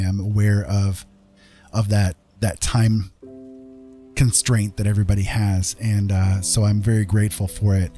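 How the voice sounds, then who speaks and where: Guy streamer w lower voice, rambly casual chat while gaming, soft music behind. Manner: rambling, chuckly, thinking aloud. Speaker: game livestream host. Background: game music.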